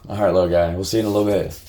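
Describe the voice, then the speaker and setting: A man's voice: low voice, flat delivery, rapid-fire, dark tone, slight background noise. A man with a Midwestern American accent, co-hosting a casual reaction chat and rambling with fillers and restarts. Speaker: reaction channel co-host.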